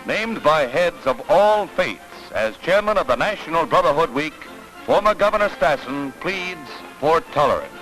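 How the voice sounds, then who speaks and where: A high-voiced American man announces in the grand manner of a 1940s newsreel. His delivery is scripted, declamatory and dramatic, with prominent music running behind him on an old film soundtrack. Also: animated, normal speed, poor audio. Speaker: newsreel narrator. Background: orchestral newsreel music.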